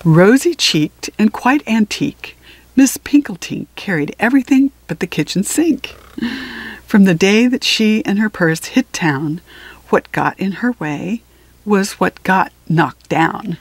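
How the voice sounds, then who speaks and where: Female storybook reader, mid-pitched, very unhurried, expressive phrasing with deliberate pauses. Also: breathy edge, no echo. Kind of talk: read-aloud of a children's picture book.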